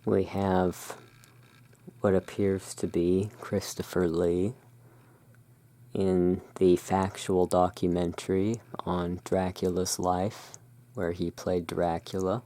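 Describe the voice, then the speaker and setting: A person narrating in a soft, hushed, deliberate ASMR style while presenting cards as a host. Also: low-pitched, flat delivery.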